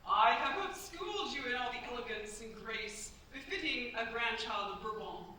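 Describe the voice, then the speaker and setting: An actor playing a stern, persuasive older French aristocratic aunt in a staged radio play, in a formal, imperious, theatrical manner. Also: female voice, a little high, expressive, normal speed, pushed and bright. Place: staged performance in a cultural centre. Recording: very echoey hall, distant mics, faint hiss.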